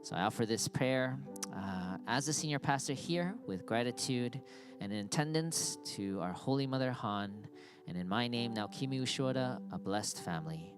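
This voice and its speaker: A male pastor prays before his congregation in a reverent, unhurried voice, pausing often. Instrumental music plays clearly beneath his words, and the recording comes from a church sanctuary. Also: mid-range voice, animated. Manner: reverent, unhurried, with frequent "uh" pauses. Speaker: senior pastor. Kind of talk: leading a congregational prayer. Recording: instrumental music playing underneath.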